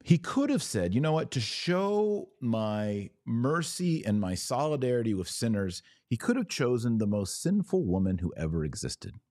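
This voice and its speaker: A man's voice: mid-range voice, big pitch swings, moderate pace, hardly any pauses, a little mellow, no echo, clean studio sound. A man hosting a religious podcast, explaining in an emphatic monologue with rhetorical questions and repeated key words. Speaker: podcast host.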